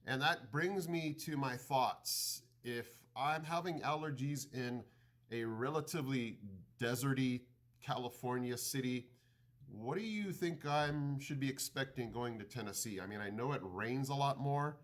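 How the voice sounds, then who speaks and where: A man with a stuffed-up, nasal voice talks to his viewers at a middling pitch. He muses out loud, hesitates, and asks them for advice. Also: a little slow. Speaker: vlogger. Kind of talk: casual chat to camera.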